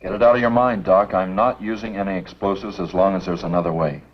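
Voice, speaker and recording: Low husky game warden, firm. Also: male voice, steady tone, fast talker. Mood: firm, insistent. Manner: firm, matter-of-fact. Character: stubborn, principled male game warden, level-headed and firm. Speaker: film actor. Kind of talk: 1950s B-movie acting. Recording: dull 1950s film soundtrack.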